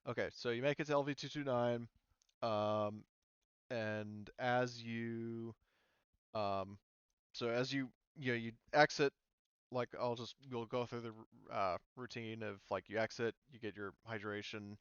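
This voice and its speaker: A man with a smooth, clear voice acts as game master for a tabletop game. He talks informally and casually, and the recording is very dry and a little dull. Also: mid-range voice, steady tone, a little slow, dry recording. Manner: informal, sweary. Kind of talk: running a tabletop role-playing game, casual explanation.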